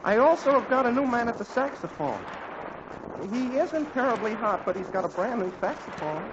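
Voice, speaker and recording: A hesitant, apologetic teenage bandleader in a 1940s radio comedy, rambling through excuses. His voice is high for a young man. The sound is a muffled, hissy vintage broadcast. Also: lively intonation, normal speed. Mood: sheepish. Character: sheepish teenage boy bandleader.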